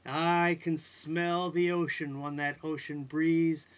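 A performer playing a weary man driven mad by the noise in his house, pleading and exasperated, with long drawn-out cries in a told folktale. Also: medium pitch, flat delivery, lightly acted. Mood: dreamy. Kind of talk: acted folktale storytelling.

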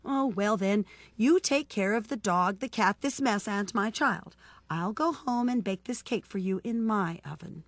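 A woman's voice: medium pitch, measured pace, no echo, natural voice. A woman voicing a clever, polite storybook baker woman who pleads sweetly and artfully. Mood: artful, courteous. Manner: imploring, courteous, artful. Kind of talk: storybook character voice.

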